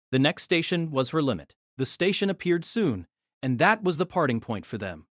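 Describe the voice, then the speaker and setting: A woman narrator reads a light novel in a scripted storytelling style. Her pitch moves in a lively, animated way, and the recording is close and dry. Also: no echo. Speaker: narrator and reader.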